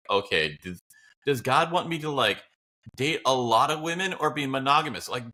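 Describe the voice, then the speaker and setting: A man's voice: medium pitch, pushed and bright. A man co-hosting a podcast, chatting casually and philosophically in a blunt, rambling, opinionated way. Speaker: podcast co-host.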